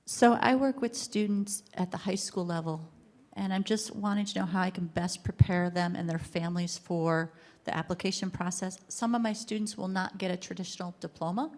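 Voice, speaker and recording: Woman who works w/ high schoolers asking careful questions, lowish voice. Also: steady tone, moderate pace, darkish tone. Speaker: high school staff member in audience.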